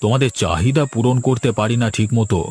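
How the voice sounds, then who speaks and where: A man playing a gentle, henpecked village father in a fairy-tale cartoon, speaking in a mild, placating, weary way. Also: mid-range voice, quick pace, slightly rough, close to an everyday voice. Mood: weary tenderness.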